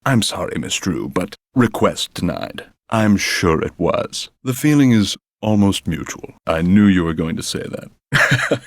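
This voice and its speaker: A lofty, prickly psychic who talks down with fussy precision. His voice sits low and the recording is dry and close, with no room around it. It is game dialogue, prim and a touch sarcastic. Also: no echo. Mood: wistful, prim. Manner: pompous, precise, mildly sarcastic. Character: pompous fussy male psychic, proud and prickly. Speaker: psychic character in a mystery game. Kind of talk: video game voice acting.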